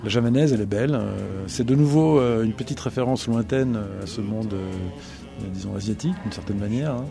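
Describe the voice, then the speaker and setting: A middle-aged man talking on the radio about songs he loves, thoughtful and pausing often to find his words. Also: fairly low pitch, vocal fry, music in the background. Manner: thoughtful, searching for words, many pauses and restarts. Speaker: radio guest, broadcasting executive. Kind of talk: reflective radio talk about favorite songs.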